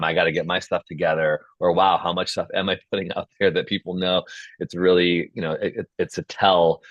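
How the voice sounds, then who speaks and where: A man's voice: low-pitched, fairly bright tone. A man hosting a feedback discussion, talking casually and thinking aloud as he gives notes. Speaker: host of script feedback session. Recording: dull, roomy call audio.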